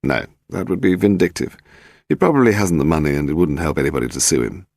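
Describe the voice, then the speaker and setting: A man's voice: rumbling low, animated, fast, gravelly, darkish tone, no echo, studio quality, full character voice. A man voicing a distinguished, donnish historian in his sixties who exclaims readily and is easily delighted. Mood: mild, dry. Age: 60s.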